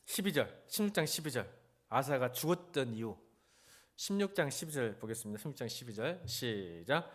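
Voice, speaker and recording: A man's voice: medium pitch, sing-song, normal speed. A man preaching a church sermon as a pastor, conversational yet exhorting, often posing rhetorical questions straight to the congregation. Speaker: senior pastor. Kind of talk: church sermon with Bible reading.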